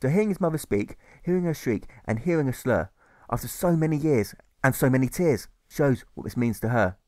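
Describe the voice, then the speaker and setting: Guy reading a rhyming audiobook, sing-song rhythm, lively pitch, really dry recording. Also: a little fast, dry recording. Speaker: author reading own audiobook. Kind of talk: scripted narration of rhyming novel.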